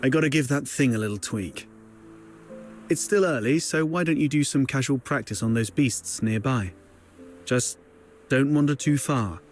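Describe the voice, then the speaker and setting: A man's voice: medium pitch, moderate pace, soft background music, close to an everyday voice. A man voicing an easygoing tinkerer and mentor in a video game, talking in a casual, caring way. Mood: relaxed, caring.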